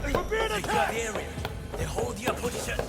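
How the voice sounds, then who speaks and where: A man voicing a grave, loyal bodyguard in a video game, a gravelly voice and terse delivery. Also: very high-pitched, very animated, normal speed, over loud music. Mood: terse, alert. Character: grave, honour-bound Japanese corporate bodyguard, stern and loyal.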